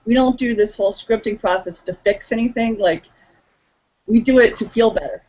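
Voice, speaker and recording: A woman's voice: fairly high pitch, moderate pace, darkish tone, muffled recording. A woman appearing as a guest coach in an online interview. She speaks earnestly and hesitantly, with frequent ums.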